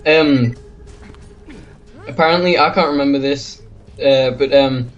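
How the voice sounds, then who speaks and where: A man's voice: mid-range voice, unhurried, highs cut off. A young British man chatting casually and swapping anecdotes with a friend in informal, bantering slang. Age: young adult. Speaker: video host telling stories. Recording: music behind the voice. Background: music.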